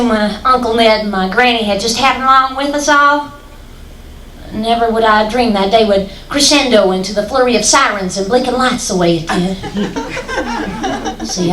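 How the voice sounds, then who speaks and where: A young woman from Texas telling a folksy, deadpan stand-up story with dark punchlines on a stage. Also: fairly high pitch. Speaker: stand-up comedian. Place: stage in an echoey hall. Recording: echoey hall, distant, faint hiss.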